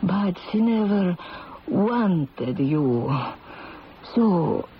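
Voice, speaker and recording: An actress playing an ancient, dying Italian grandmother who tells fortunes, speaking with an Italian accent in a halting, theatrical, mournful way in a radio drama. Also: medium pitch, expressive. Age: older. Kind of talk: 1970s radio drama acting. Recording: muffled, noisy old radio broadcast.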